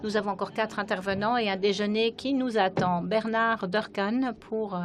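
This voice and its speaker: A woman giving simultaneous interpretation of speeches at a parliamentary conference, often pausing with hesitant fillers. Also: medium pitch, steady tone, soft background music. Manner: frequent hesitant fillers while keeping up with speakers.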